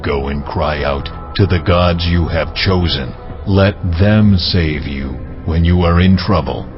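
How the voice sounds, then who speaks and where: A man playing a stern, reproachful God in a dramatized audio Bible, with weighty, deliberate delivery. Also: deep voice. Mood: solemn rejection. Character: the Lord God, solemn, stern, reproachful male deity. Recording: dull band-limited audio, music underneath. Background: clear music.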